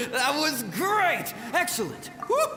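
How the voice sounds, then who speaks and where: A brash swordmaster ghost who lives for a fight, in an anime game. The words come very slowly, drenched in long ghostly reverb with noise around them. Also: male voice, very high-pitched, full character voice. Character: brash battle-hungry male swordmaster spirit. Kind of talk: anime video game voice acting. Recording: echoey reverb effect, noisy.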